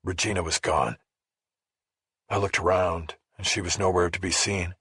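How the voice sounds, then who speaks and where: Casting: male narrator for a crime thriller, very raspy, lowish register, measured, close dry sound. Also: steady tone, a bit bright, no echo. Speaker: audiobook narrator. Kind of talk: scripted first-person crime thriller narration.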